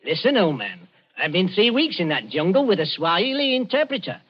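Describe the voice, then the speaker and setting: A man's voice: high voice, big pitch swings, fairly quick, breathy edge, heavily acted. An actor playing a cheeky Cockney magician working as a British agent, with breezy showman's patter, in 1940s radio drama style. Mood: boastful. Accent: South London Cockney. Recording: dull old radio broadcast.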